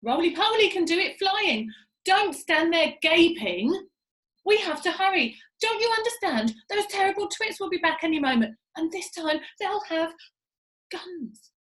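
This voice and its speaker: A woman voicing a bossy, impatient monkey father in a storybook, shouting frantic orders. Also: extremely high pitch, lively intonation, a little slow, roomy sound, voice pushed up, heavily acted. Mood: urgent, bossy.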